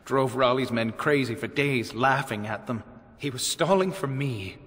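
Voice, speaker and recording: Young male elf mage played by a man, fatalistic and clipped, medium-pitched game dialogue in a reverberant hall. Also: measured pace, fairly bright tone, lightly acted. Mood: grim. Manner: clipped, downcast. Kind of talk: video game cutscene acting. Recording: long reverberant hall echo.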